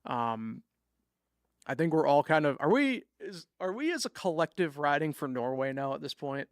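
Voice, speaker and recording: A man's voice: a little high, very animated, moderate pace, clean tone, no room sound, studio quality. A young man co-hosting a casual sports chat, talking loosely with lots of fillers and teasing his co-host. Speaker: podcast co-host.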